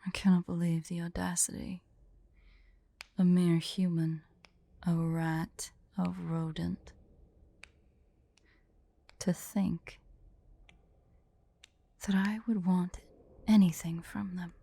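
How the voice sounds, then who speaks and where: A woman playing a haughty, prickly but secretly fond female dragon in an ASMR roleplay, imperious and deliberate. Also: lowish voice, flat delivery, vocal fry, mellow, dry recording, heavily acted. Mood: muttering, offended. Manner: imperious, indignant, slow and deliberate.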